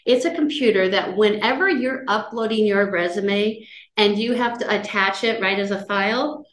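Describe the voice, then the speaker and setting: A middle-aged woman with a loud voice giving a casual career-services talk, chatty and conversational, often checking in with her listeners. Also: medium pitch, moderate pace. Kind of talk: casual lecture on job searching. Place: office, indoors, on a video call. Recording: roomy video-call audio.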